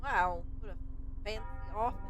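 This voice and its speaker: A woman's voice: medium pitch, measured pace. A woman game streamer giving casual let's play commentary, with game music behind her.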